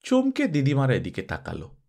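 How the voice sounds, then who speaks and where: A man reading a story aloud as an expressive scripted narration. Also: medium pitch, moderate pace, gravelly.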